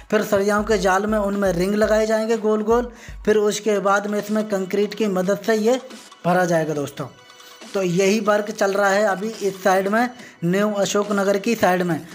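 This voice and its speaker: Guy doing a casual update vlog, pretty monotone, repeats himself, bit of music behind him. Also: high-pitched. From northern India. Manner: repetitive, explanatory, addresses viewers as friends. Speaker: vlogger. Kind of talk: informal on-site construction update commentary. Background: background music.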